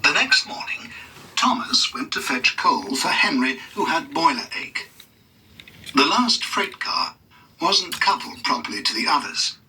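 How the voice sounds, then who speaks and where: A man reading a scripted children's story as narrator, in an expressive, dramatic read-along style. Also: a little high, unhurried, far from the mic, a little hiss or hum, low-quality recording.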